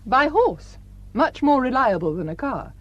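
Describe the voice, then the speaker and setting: A woman acting a frank young village doctor with a quiet sadness in a radio drama. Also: high voice, big pitch swings, a little slow, no room sound, muffled recording, heavily acted. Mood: brisk, kindly.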